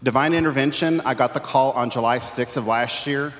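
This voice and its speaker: A man's voice: mid-range voice, brisk, a bit bright. A man from Texas sharing his personal testimony earnestly on a church panel. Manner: earnest, conversational. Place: church sanctuary. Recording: long hall reverb.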